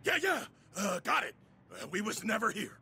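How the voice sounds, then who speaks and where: Sharp, creaky nervous robot minion. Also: male voice, sits high, huge pitch swings, moderate pace, full character voice. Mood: nervous, servile. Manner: hesitant, deferential, uneducated grammar. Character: nervous, dim male robot underling.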